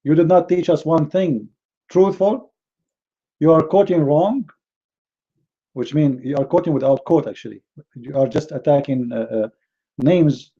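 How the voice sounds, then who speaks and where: A man's voice: fairly high pitch, a little slow, long pauses, mellow, husky, highs cut off. A man with a Middle Eastern Arabic accent, speaking English as a second language, heatedly rebutting a critic and often stumbling over words. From Middle East. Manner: indignant, repeats words and restarts often.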